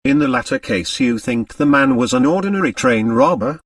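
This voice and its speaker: A man voicing a polite, probing male detective in an audiobook, courteous and deliberate. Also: mid-range voice, animated, dry recording, clean studio sound, recorded from a distance.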